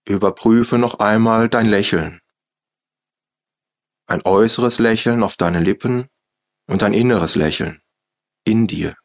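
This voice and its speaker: Slow, calm German man guiding meditation. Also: lowish voice. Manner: slow, soft, calm instructions with long pauses. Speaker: meditation guide. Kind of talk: guided yoga nidra meditation.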